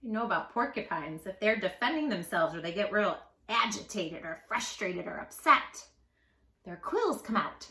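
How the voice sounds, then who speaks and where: A woman hosting a read-aloud for young children, expressive and emphatic, speaking straight to the listener. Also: medium pitch, normal speed, echoey room. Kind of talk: animated picture-book reading for young children.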